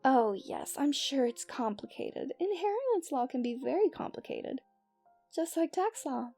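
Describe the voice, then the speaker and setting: Woman acting a mellow, genteel fairytale princess. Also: high-pitched, big pitch swings, measured pace, faint music behind, full character voice. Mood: polite, slyly menacing. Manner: formal, genteel fairytale phrasing with breathy sighs. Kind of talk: scripted romantic ASMR roleplay.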